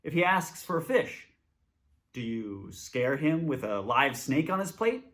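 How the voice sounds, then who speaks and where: A man's voice: mid-range voice, big pitch swings, unhurried, fairly bright tone. A man with a mid-range voice reads a scripture passage aloud from a text. He delivers it in short, emphatic phrases. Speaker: scripture reader.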